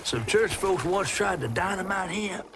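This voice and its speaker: An actor playing a folksy, cheeky giant catfish storyteller in a video game, with a drawling American Southern accent. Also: male voice, high voice, lively intonation, moderate pace, background noise. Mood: wary, urging. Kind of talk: video game character acting and storybook narration.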